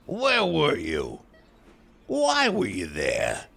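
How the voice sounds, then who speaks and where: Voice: mid-range voice, wildly swooping pitch, drawn-out words, gravelly, fairly bright tone, full character voice. An actor playing a middle-aged, pedantic police lieutenant questioning someone in an audio drama. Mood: probing, insistent.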